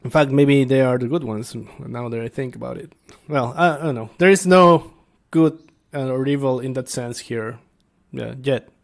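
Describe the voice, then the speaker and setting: A man speaking as a tabletop game master, explaining things casually and without a script, halting as he thinks aloud. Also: medium pitch, creaky. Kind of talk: casual unscripted explanation to viewers.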